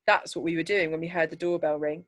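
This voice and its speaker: A woman reads literary fiction aloud in a slightly low voice. Her narration is measured and deliberate, giving each descriptive phrase its space. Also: fairly even intonation. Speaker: teacher reading a story aloud. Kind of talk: scripted story narration.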